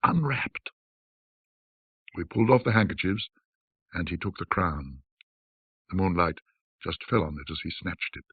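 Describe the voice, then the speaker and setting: A man with a fairly low, husky voice narrates a classic ghost story. His delivery is measured and formal, in an old-fashioned literary manner. The recording is narrow and muffled. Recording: muffled band-limited audio, roomy echo.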